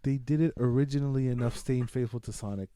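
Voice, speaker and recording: A man talking casually and excitably, with slang and swearing, as he hosts a movie review podcast. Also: medium pitch, fast talker, clear, resonant tone, dry recording. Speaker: podcast host.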